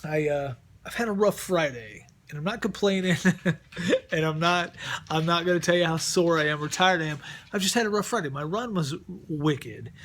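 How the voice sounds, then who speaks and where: Middle-aged male vlogger, relaxed rambling delivery with lots of restarts, voice sitting a little high. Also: moderate pace. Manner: rambling, repeats words and restarts often. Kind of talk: casual vlog chat. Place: at home. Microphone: close mic.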